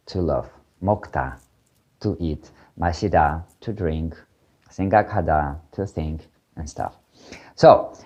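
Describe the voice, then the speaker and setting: Low-voiced man. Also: darkish tone, highs cut off. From Ukraine. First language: Russian. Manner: hesitant, many fillers and restarts. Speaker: language teacher. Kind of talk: casual video lesson.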